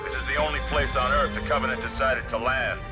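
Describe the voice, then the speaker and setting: A man playing a stern older fleet admiral who gives measured, commanding orders. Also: mid-range voice, steady tone, over loud music, close to an everyday voice. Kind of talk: video game cutscene acting.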